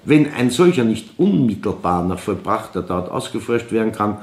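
An actor playing a courteous, officious police official with an Austrian accent in a radio drama, speaking formally and emphatically. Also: male voice, on the low side, big pitch swings, moderate pace, a little mellow. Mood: grave, cautioning. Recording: roomy echoing hall.

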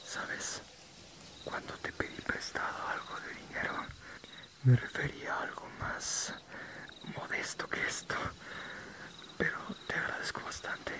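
A young werewolf played by a man speaks entirely in a breathy whisper. He is friendly and unassuming, casually confiding in an ASMR fantasy roleplay. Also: a little slow, long pauses, close to an everyday voice. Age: young adult.

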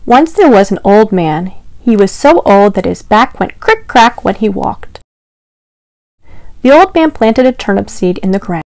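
A woman's voice: mid-range voice, sing-song, a little slow, a little mellow, dry recording, low-quality recording. A young woman telling stories to small children as a storytime host, with exaggerated, encouraging delivery aimed straight at the listeners. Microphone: clip-on lapel mic.

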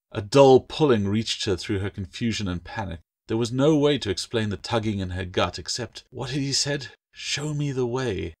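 A man reading his own fantasy novel as a scripted audiobook, with dramatic storytelling delivery. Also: on the low side.

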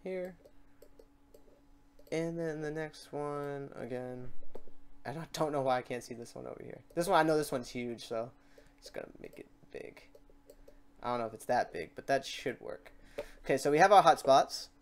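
Clear-voiced man giving a casual tutorial. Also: medium pitch, moderate pace, long pauses. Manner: informal, thinking aloud, self-deprecating. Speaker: tutorial host. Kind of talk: casual, improvised software tutorial.

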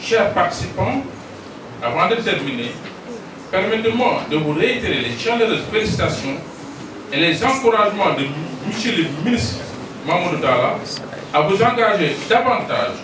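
A man's voice: high-pitched, sing-song, a little fast. An older man with a Senegalese accent solemnly reading an official speech on behalf of a minister, into a handheld mic in a large hall. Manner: solemn, ceremonious, reading aloud. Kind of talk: formal speech read from notes. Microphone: handheld mic, filmed from a distance. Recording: echoey hall, distant, noisy.

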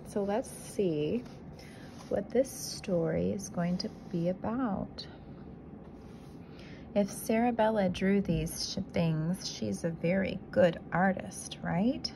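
Lady teacher reading a kids story, real slow and expressive, some noise behind her. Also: mid-range voice, creaky. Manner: deliberate, expressive, pauses to ask questions. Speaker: kindergarten teacher reading aloud. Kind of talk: picture book read-aloud to young children.

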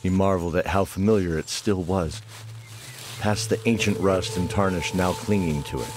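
A man's voice: sits low, moderate pace, slight background noise, close to an everyday voice. A man narrating a fantasy audio drama in a scripted storytelling style. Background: music.

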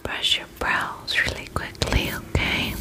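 A woman doing an ASMR spa roleplay as an attendant, speaking in a soft breathy whisper close to the mic, gentle, soothing and affectionate. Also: measured pace. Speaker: ASMR performer playing a vampire spa attendant. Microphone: close ASMR microphone.

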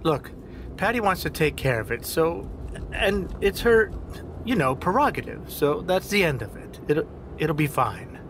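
An insecure desk cop and father who is down on himself, in a naturalistic audio drama. He speaks haltingly and circles back over his words. There is distinct vehicle noise behind him. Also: a little high, big pitch swings. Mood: uneasy. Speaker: actor in a scripted audio drama.

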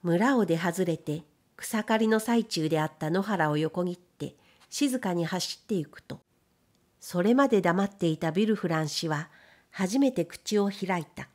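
A woman's voice: on the low side, a little slow, a little mellow. A woman reading a literary novel aloud as an audiobook narrator, in a scripted storytelling style.

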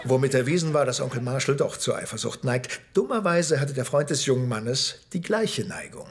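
A man narrating a sitcom in a calm, wry storytelling manner. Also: mid-range voice, a little fast, slight background noise, lightly acted. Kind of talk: scripted narration. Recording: music under narration.

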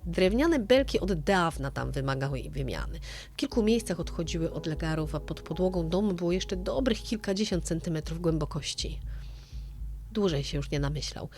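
A woman with a fairly low voice narrates a true-crime story in the manner of an audio drama. Soft music plays behind her reading. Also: animated, moderate pace.

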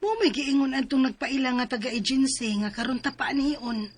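A woman's voice: high-pitched, steady tone, fairly quick, breathy edge. A young woman acting as an upbeat friend who is looking for domestic work, reassuring and breezy in a radio drama.

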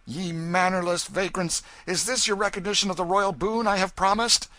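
Man playing a proud, high-pitched boy king. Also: steady tone, normal speed, pushed and bright, no room sound. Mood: sharp, angry. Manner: princely, grave, archaic speech. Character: proud, indignant boy king among vagabonds.

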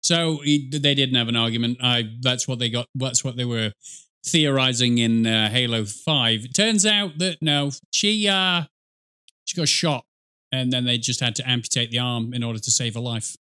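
A man's voice: mid-range voice, fairly even intonation, moderate pace, sharp tone, clear, resonant tone. A middle-aged man giving casual reaction commentary as a video host, speaking close to the mic.

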